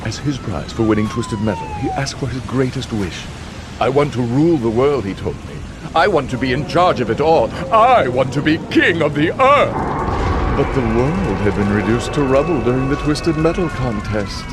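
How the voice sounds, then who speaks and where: Sly theatrical villain, swooping delivery. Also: male voice, medium pitch, huge pitch swings, normal speed, noisy background. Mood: dark irony. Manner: sly, ominous, theatrical storytelling. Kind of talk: theatrical scripted villain narration. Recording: dramatic music behind the voice.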